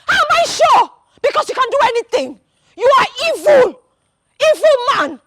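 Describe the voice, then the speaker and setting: An actress with a Nigerian accent playing a fiercely loyal, indignant wife in a melodramatic film, scolding emphatically and repeating herself. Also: female voice, extremely high pitch, very animated, normal speed, a bit bright, heavily acted. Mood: furious.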